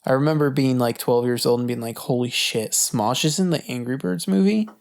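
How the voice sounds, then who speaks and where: A man's voice: mid-range voice, a little fast, creaky. A young man co-hosting a podcast, chatting informally about movies, hesitant and peppered with swearing. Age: young adult. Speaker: podcast co-host. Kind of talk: casual movie chat.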